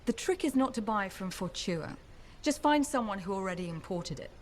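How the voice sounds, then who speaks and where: Woman as tough wry bartender, shrewd dealer, blunt, animated mid-pitched voice, game dialogue. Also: normal speed, slight background noise, close to an everyday voice. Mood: businesslike. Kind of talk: video game character dialogue.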